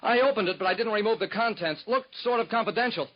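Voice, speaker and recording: A man's voice: sits high, fast, slight background noise, close to an everyday voice. A man playing an eager, nervous young job seeker. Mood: anxious. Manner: earnest, pleading. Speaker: secretary. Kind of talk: 1940s radio acting. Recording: dull lo-fi 1940s radio recording.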